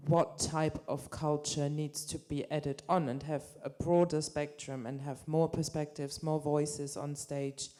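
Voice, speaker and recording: A woman's voice: sits low, little pitch movement, a little slow, hardly any pauses, mellow. A woman speaking earnestly on a conference panel, asking pointed questions and using fillers. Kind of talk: panel discussion. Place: conference stage.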